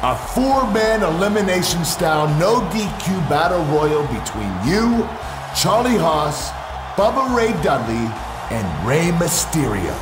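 A man's voice: fairly high pitch, big pitch swings, measured pace. A man playing an enthusiastic wrestling general manager, announcing things with showy emphasis in a video game cutscene. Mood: excited, scheming. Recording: echoey room with music behind.

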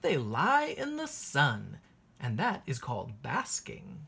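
Female storybook reader: unhurried, sing-song teacher delivery, strongly animated intonation, breathy husky tone. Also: very deep voice. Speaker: teacher reading a children's book aloud. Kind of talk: expressive picture-book read-aloud for young children.

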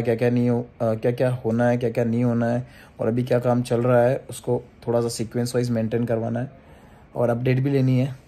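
A young man in his twenties with a chatty, energetic manner, filming a casual vlog on a phone held at arm's length, talking in a car, at home and outdoors. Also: medium pitch, husky. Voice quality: energetic, chatty, addresses viewers as guys. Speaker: vlogger. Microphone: handheld phone at arm's length. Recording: noisy car and outdoor background.

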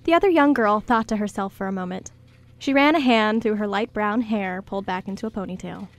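A woman's voice: mid-range voice, a little fast. A woman reading scripted story narration as the narrator of a radio play.

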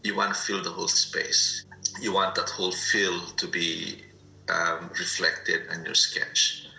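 Male artist interviewee, very sharp tone, fairly flat intonation, explanatory, roomy sound. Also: deep voice, unhurried, vocal fry. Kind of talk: explanatory talk. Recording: echoey room.

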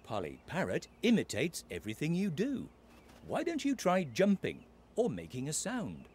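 Very expressive children's narrator. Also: male voice, moderate pace, a little hiss or hum. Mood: friendly guiding. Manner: clear, encouraging, highly expressive. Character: friendly storybook narrator guiding young players. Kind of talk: scripted children's game narration.

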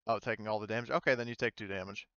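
A man's voice: lowish voice, fairly even intonation, very fast, sharp tone, smooth, no room sound, lo-fi sound. A man running an online tabletop game as game master, talking casually and hesitantly as he thinks aloud.

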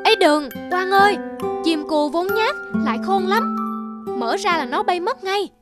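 A woman's voice: very high voice, animated, unhurried, over music. A woman voicing a cheeky, stubborn young village boy in a cartoon, whining and pleading. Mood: wheedling. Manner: whiny, pleading.

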